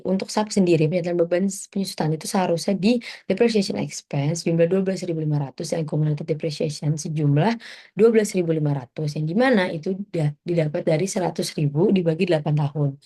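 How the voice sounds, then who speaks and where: Young woman, low mellow voice. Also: husky. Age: young adult. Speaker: student presenter. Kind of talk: informal explanatory presentation.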